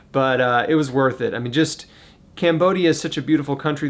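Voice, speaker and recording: An American man speaking informally and off the cuff as a video host, earnestly encouraging listeners to support a cause. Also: mid-range voice. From United States. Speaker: YouTube host making a charity fundraising appeal. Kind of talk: casual, unscripted appeal to camera.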